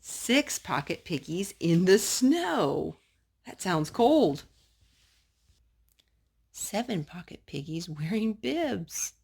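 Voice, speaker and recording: Creaky, lower-voiced woman reading to a child. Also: big pitch swings, slow pace, long pauses. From North Carolina. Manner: gentle questions and asides to a young child. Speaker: storytime host reading children's books aloud to a child. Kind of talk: children's read-aloud storytime.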